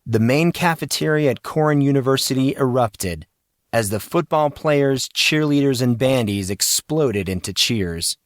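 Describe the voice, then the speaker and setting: Guy narrating a novel, mid pitched voice, really dry recording with no echo. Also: animated, a little slow. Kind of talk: scripted fiction narration.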